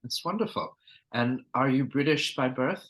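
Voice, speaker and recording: A man's voice: medium pitch, animated, moderate pace, fairly bright tone, poor audio. A man hosting an interview, reading a formal introduction and asking polite questions. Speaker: interview host.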